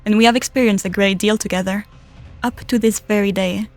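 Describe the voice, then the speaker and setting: A woman's voice: medium pitch, steady tone, fairly quick, faint music behind, lightly acted. A woman voicing a firm, protective companion with formal speech in a game fan dub. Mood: firm, defensive.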